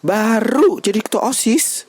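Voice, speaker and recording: A woman performing an indignant high school student in an animated visual novel. The voice is raspy and dry, with very animated, defiant complaining. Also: unhurried, dry recording. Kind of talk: acted visual novel drama.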